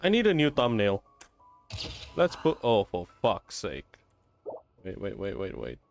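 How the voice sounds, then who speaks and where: A man giving casual live-stream game commentary in a laid-back, halting, slangy way. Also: fairly low pitch, huge pitch swings, gravelly. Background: game music.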